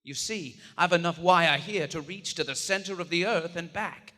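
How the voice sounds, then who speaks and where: An actor playing a domineering, driven occult investigator, grave and condescending, in a theatrical horror radio play performed live. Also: male voice, fairly high pitch, normal speed, pushed and bright. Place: on stage in a large theater. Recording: live theater hall reverb.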